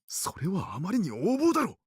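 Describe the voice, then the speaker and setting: A curt, harried doctor in a game. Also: male voice, high voice, huge pitch swings, very fast, a little mellow, breathy edge, dry recording, clean studio sound, exaggerated voice. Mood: brusque. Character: gruff tired male doctor, brusque. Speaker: clinic doctor.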